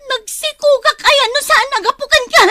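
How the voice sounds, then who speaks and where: A woman with an Ilocano Filipino accent playing a bitter, quick-tempered young pregnant woman in a radio serial, with emotional, accusing delivery. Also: very high voice, sharp tone, no echo, clean studio sound. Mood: furious. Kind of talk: radio serial drama acting.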